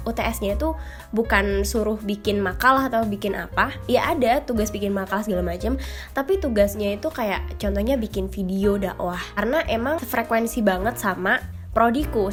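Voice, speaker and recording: A young woman chatting casually to her viewers, her voice nasal from a cold, often dropping English phrases into her talk. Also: on the high side. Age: young adult. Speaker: vlogger. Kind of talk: casual chat to camera.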